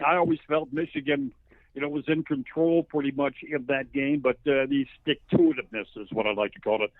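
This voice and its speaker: A man's voice: mid-range voice, expressive, a little fast, creaky, dry recording. A man in his sixties, a radio sports announcer, talking conversationally as an interview guest in a wordy, folksy storytelling way. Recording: narrow phone-like line.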